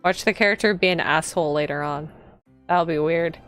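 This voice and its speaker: A woman's voice: deep voice, a little fast, fairly bright tone. A woman streaming a visual novel, reading it aloud and chatting with sympathetic, gushing asides over game music. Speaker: streamer, virtual YouTuber. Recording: music behind the voice.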